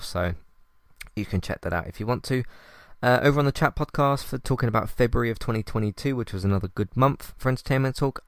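A male podcast host with a calm, low voice, talking casually through his recent episodes and match ratings and often starting over or stumbling on fillers. His tone is smooth and resonant, and the recording is dry and close. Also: no echo. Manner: calm, conversational, with frequent fillers and restarts. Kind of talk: solo podcast commentary.

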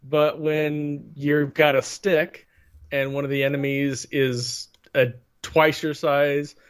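A man's voice: on the high side, little pitch movement, slow pace, clear, resonant tone. A man co-hosting a casual podcast chat, talking in an emphatic, exasperated way. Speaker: podcast co-host.

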